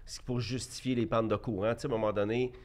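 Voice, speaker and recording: A man's voice: mid-range voice, steady tone, fast. Blunt Québécois man in his fifties. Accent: Québécois French. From Quebec. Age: 50s. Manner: emphatic, restarts often, blunt opinions. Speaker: guest, entrepreneur. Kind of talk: debate interview.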